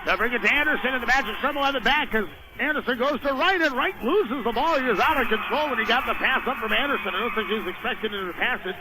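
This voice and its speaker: A man doing live radio play-by-play of a basketball game in clipped phrases, rising to excited shouts on big plays. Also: very high voice, fast talker, hardly any pauses. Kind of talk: live radio sports commentary. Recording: noisy radio broadcast from a gym.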